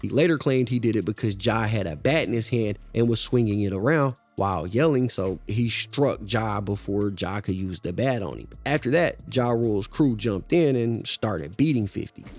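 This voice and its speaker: Low-voiced man narrating a story. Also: lively intonation, normal speed, few pauses, a little mellow, soft background music. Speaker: documentary narrator. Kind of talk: scripted narration.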